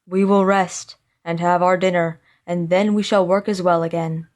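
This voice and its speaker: Storybook role: poor, sorrowful father, read by a woman, plain-spoken, measured, protective and admonishing. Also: lowish voice, little pitch movement, a little mellow, lightly acted. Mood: gently scolding. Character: poor, weary, sorrowful woodcutter father, gently scolding. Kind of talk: storybook character voice.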